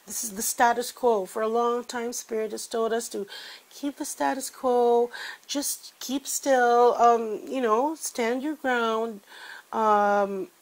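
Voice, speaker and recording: Woman, congested, slow, chatty, fillers, tarot reading. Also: fairly high pitch, fairly even intonation, low-quality recording. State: congested from a cold. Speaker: tarot reader. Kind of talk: weekly tarot reading to camera.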